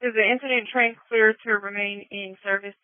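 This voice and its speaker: A woman's voice: on the high side, fairly even intonation, brisk, dry recording. A woman working as a police dispatcher, speaking in clipped acknowledgements and relayed instructions over the radio. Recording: muffled close radio dispatch channel.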